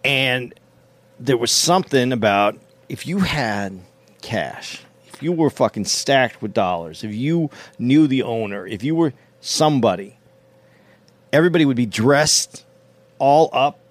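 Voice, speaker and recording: A man on a casual talk show works through a memory at his own pace. He restarts his sentences often and fills gaps with "um" and "like". His pitch sits in a middle range. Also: lively intonation, a little slow. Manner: rambling, with frequent restarts and "um"s. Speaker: podcast co-host. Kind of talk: casual chat, storytelling.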